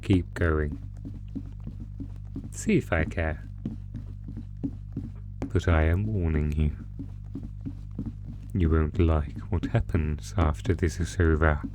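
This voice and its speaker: A man voicing a sadistic, theatrical monster who taunts a prisoner in a horror roleplay, talking with drawn-out pauses. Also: very deep voice, fairly even intonation, music in the background. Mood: cold, threatening. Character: sadistic, gloating male monster stalking its prisoner through a maze. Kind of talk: ASMR horror roleplay audio drama.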